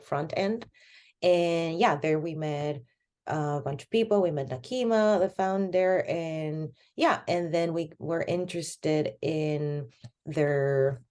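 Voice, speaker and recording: A woman's voice: low-pitched, dark tone. A woman in her thirties from Venezuela, a podcast guest talking casually about her career, full of fillers. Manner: rambling, many fillers like "like" and "you know". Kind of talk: casual conversational interview.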